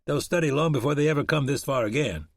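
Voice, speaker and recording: A man voicing a tough frontier man who talks in a blunt, crisp drawl. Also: on the high side, expressive, brisk, no room sound, studio quality, voice pushed up.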